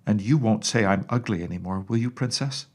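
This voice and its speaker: A man's voice: fairly low pitch, fairly quick, a little mellow, lightly acted. A man voicing a stern, skeptical woman, a children's nurse, scolding and dismissive in storybook dialogue. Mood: exasperated.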